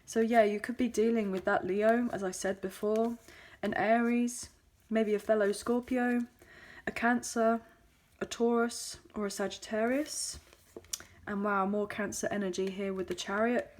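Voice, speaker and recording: A woman with a slightly croaky, hoarse voice, giving a chatty tarot reading into a phone, with frequent fillers. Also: mid-range voice, steady tone, a little slow, darkish tone. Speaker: tarot card reader.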